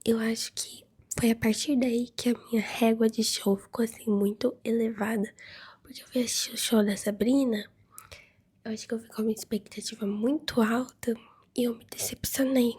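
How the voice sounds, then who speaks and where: A Brazilian woman hosting a podcast, chatting casually and giggling as if sending a voice message, hoarse and nasal from a flu so her voice keeps dropping to a whisper. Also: on the high side, steady tone, normal speed, darkish tone. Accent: Brazilian Portuguese. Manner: chatty, giggly, talks straight to listeners. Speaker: podcast host.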